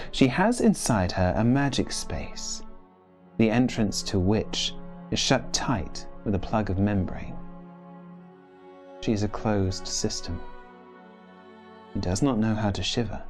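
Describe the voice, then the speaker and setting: A man with a low voice narrates a gothic literary tale. He phrases it deliberately, leaving pauses between clauses, and music plays behind him. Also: darkish tone. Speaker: story reader. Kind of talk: dramatic literary audiobook narration.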